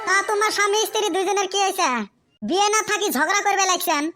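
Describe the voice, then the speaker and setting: A man's voice: extremely high pitch, quick pace, bright, forceful tone. A man voicing a cheeky, scheming village son in a comic cartoon. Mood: cheeky pleading. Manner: cheeky, argumentative.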